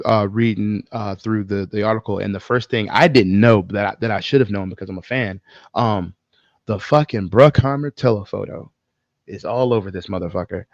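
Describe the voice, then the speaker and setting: A man co-hosting a casual podcast, speaking in an opinionated, emphatic way with swearing and halting pauses. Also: fairly low pitch, darkish tone, no echo, dull audio. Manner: profane, emphatic, halting with pauses mid-thought. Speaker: podcast co-host. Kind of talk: casual film discussion, opinionated ranting.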